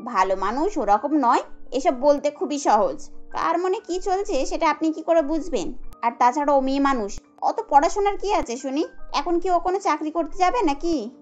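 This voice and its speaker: A woman's voice: high voice, expressive, normal speed, darkish tone, faint music behind. A woman voicing a scornful, domineering young housewife who scolds sarcastically in a cartoon. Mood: indignant. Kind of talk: Bengali cartoon dubbing.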